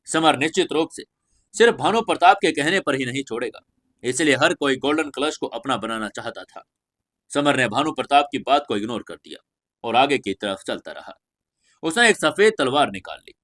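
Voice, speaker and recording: A man's voice: mid-range voice, a bit bright. A man reading a scripted fantasy audio story as narrator, with emphatic, dramatic storytelling delivery.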